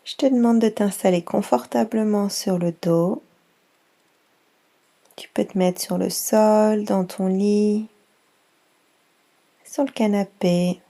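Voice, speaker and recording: Slow, soft-voiced woman guiding relaxation. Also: mid-range voice, steady tone. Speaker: yoga nidra guide. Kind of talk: guided relaxation for children.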